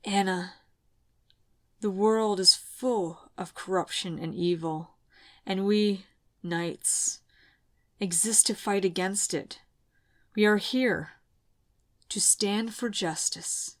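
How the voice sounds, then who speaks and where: A woman's voice: mid-range voice, a bit bright. A woman voicing a dying knight mentor, faint and tender. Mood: tender farewell. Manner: weak, halting, tender. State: fading, in pain. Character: dying older female knight, gentle mentor.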